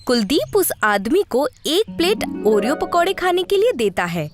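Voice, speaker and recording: A woman's voice: high-pitched, big pitch swings, normal speed, over music. A woman narrating a scripted story in a storybook style.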